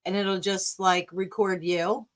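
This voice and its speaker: A woman hosts a podcast in a relaxed, chatty way, encouraging her guest as she talks. Her voice sits at a middling pitch for a woman. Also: measured pace. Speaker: podcast host. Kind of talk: casual conversation.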